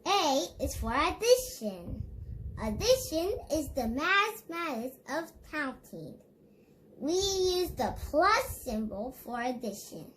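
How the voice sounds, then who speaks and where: A child host reading an educational picture book aloud in a deliberate, instructive way, speaking directly to viewers. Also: high voice, big pitch swings, a bit bright, a little hiss or hum, low-quality recording.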